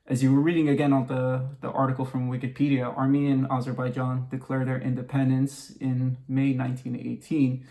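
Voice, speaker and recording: A man speaking as a history expert in an interview, explaining in measured, precise and formal wording. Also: mid-range voice, a little mellow.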